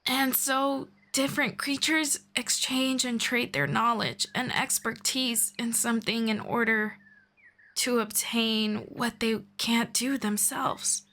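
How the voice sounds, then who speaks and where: Woman voicing a soft-spoken ageless elf mentor. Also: fairly high pitch, steady tone, full character voice. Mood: gentle, soothing. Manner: soft-spoken, slow and patient, drifting into whispers. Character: gentle ageless female elf mentor, patient and kind. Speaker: voice actor. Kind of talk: ASMR roleplay.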